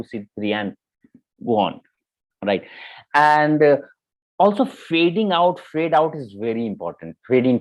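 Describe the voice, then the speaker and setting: Indian guy giving feedback on a video call, kinda halting, repeats himself, dull muffled sound. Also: medium pitch. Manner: halting, repetitive, often checking with "you know". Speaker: judge and mentor giving feedback. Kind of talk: spoken critique, informal feedback. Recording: muffled, band-limited online call audio.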